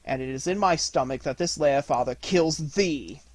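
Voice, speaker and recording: A man reading aloud, voicing a fierce young male jungle boy raised by wolves, who shouts battle cries. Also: on the high side, normal speed, dry recording, lightly acted.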